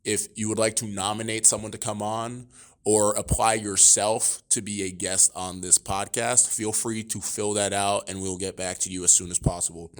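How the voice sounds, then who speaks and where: A man's voice: on the low side, flat delivery, normal speed. A young man hosting a podcast, reading announcements and joking with his guest. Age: young adult. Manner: joking, upbeat, teasing the guest. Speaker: podcast host.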